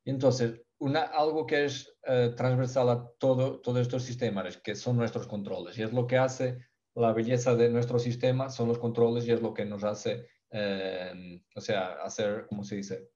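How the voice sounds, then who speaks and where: A man from Portugal with a Portuguese accent presents a technical topic in a second language. His voice is mellow and dark, and he stumbles, restarts and searches for words. The sound is dull and lo-fi, like call audio. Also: medium pitch, fairly even intonation, moderate pace. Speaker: ventilation specialist.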